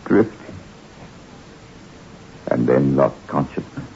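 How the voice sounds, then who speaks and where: A man's voice: a bass voice, expressive, takes it slowly, darkish tone, full character voice. A man playing a haunted, distraught castaway recounting his ordeal in an old radio horror play, intense and theatrical. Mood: weary, haunted. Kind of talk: 1960s radio horror acting and narration. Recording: muffled old radio with faint noise.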